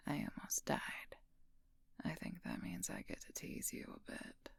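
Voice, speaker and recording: A woman playing a wounded, protective female werewolf knight in an intimate audio roleplay, speaking in a breathy, husky, gravelly whisper. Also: no echo, clean studio sound, close to an everyday voice.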